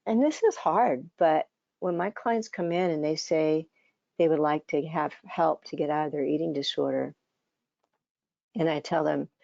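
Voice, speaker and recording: An older woman, around seventy, giving a conversational conference presentation as a dietitian, her voice scratchy and hoarse, with many fillers and restarts. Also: sits low, a little slow, a little mellow, no room sound, dull audio.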